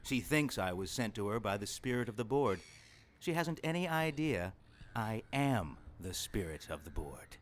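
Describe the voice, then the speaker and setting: A man's voice: fairly low pitch, big pitch swings, normal speed, a little hiss or hum. A man playing a pompous, menacing demon villain in an audio drama, gloating in a theatrical way. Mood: smug menace. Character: gloating male demon villain posing as human lover.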